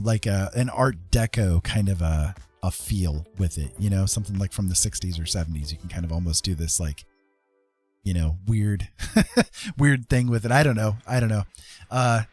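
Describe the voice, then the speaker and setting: A man's voice: lowish voice, darkish tone, husky. A man casually streaming a digital painting tutorial, rambling and thinking aloud as he works.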